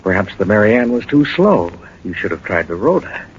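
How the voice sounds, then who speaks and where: An actor playing a suave, menacing smuggler in a 1940s radio drama, speaking with sardonic, hesitant mock courtesy. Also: male voice, on the low side, sing-song, normal speed. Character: smug, menacing male smuggler villain, mockingly courteous. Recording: dull old radio recording, faint noise.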